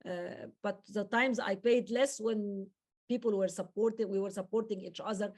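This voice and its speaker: Egyptian-accented woman with a mellow voice. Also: mid-range voice, fairly even intonation, normal speed. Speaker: journalist and safety trainer. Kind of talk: webinar talk, explanatory.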